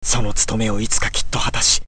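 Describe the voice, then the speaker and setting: Husky, earnest young swordsman. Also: fairly low pitch, flat delivery, fairly quick, bright, forceful tone, dry recording. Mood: solemn, determined. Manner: solemn, resolute, dramatic. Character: earnest young swordsman devoted to his homeland. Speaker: video game voice actor. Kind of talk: dramatic fighting game voice acting.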